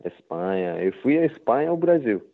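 A man whose first language is Japanese, speaking halting Portuguese with a Japanese accent and many fillers in a casual interview. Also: medium pitch, big pitch swings. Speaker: interviewed footballer. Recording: tinny phone-line sound.

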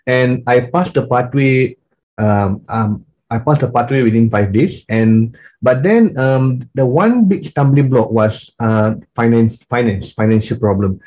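Guy, husky mellow voice, telling his story earnestly. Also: mid-range voice, a little slow, dull audio. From Malaysia. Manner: earnest storytelling with many fillers and restarts. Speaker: guest, coach and maths teacher. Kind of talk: podcast interview.

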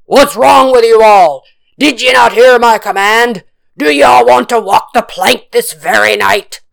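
A woman voicing a gruff, angry male pirate captain from a storybook, roaring orders in old-time pirate talk. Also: fairly high pitch, unhurried, pitched above the performer's own voice. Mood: angry, impatient.

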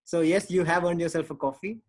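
A man's voice: a little high, brisk, a little mellow. A man with an Indian accent hosting a casual interview in a chatty, informal way. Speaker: podcast host.

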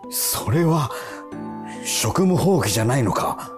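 A man's voice: medium pitch, lively intonation, slow pace, music in the background. An actor in an audio drama playing a stern, blunt time patrol officer who is torn over his duty. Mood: torn, troubled. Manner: blunt, gruff, weighty speech.

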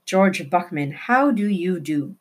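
A woman's voice: lowish voice, lively intonation, takes it slowly, slightly rough, full character voice. Woman putting on an over-polite, affable dad. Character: affable, over-polite middle-aged father.

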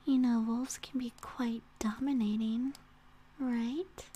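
Woman acting a slow, whispery yandere wolf girl. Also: a little high, steady tone. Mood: possessive, coaxing. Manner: breathy whispering mixed with soft speech, teasing, giggly. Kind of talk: ASMR roleplay, intimate address to listener.

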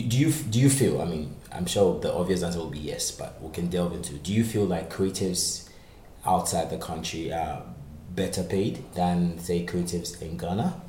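A man with a very low voice hosts a casual podcast chat. He nudges guests along with quick "okay"s and easy laughs. The room is echoey, with a faint hum of ambience behind him. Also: a little mellow. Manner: laughs easily, prompts guests with short acknowledgements. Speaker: podcast host. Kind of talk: casual interview.

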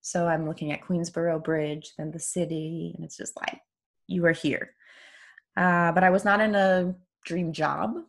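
Gravelly low-voiced woman in her forties. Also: normal speed. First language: Farsi. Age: 40s. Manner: animated, laughs often, swears casually. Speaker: author and podcast host. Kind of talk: casual conversational interview.

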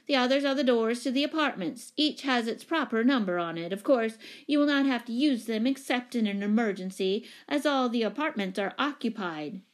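A woman voicing a large, commanding, haughty lady, booming, imperious and fussy, in a storybook reading. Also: moderate pace, few pauses, natural voice. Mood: brisk, officious.

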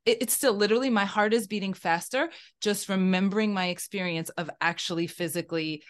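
A woman with a naturally loud voice, a guest talking emphatically and effusively in a casual podcast chat. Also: mid-range voice, brisk. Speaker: guest, physical therapist.

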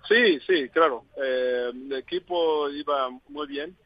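A man's voice: on the high side, dry recording. Hesitant Zambian man on a muffled line. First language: not Spanish. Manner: halting, searching for words with many fillers. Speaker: interview guest. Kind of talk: phone interview.

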